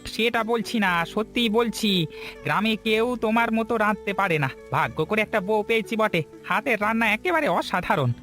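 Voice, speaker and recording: A man voicing a kind, hardworking village woodcutter husband in a Bengali cartoon story, earnest and soft-hearted. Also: high voice, animated, normal speed, soft background music. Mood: tender, weary.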